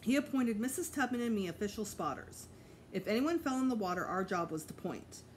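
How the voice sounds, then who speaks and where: Animated middle-aged woman reading a storybook aloud. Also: medium pitch, a little fast. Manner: animated, with small slips and self-corrections. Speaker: teacher reading aloud to pupils. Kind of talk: expressive children's storybook read-aloud. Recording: clear background noise, home recording.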